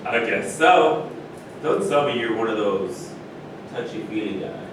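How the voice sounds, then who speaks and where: Man playing laid-back teasing womanizer at a play reading, lowish voice, far off in echoey room. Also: lively intonation, measured pace, noisy background. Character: easygoing pleasure-seeking ladies' man, teasing.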